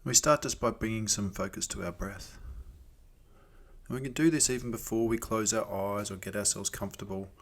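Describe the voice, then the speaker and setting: A man with a fairly low voice guides a meditation. He speaks gently and without hurry, leaving soothing pauses between phrases as he gives each instruction. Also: vocal fry. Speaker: meditation teacher.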